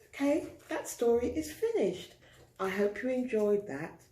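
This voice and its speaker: A woman's voice: fairly high pitch, sing-song, unhurried, mellow, slight background noise. A woman storyteller reading expressively to young children, recorded in a roomy space. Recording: roomy echo.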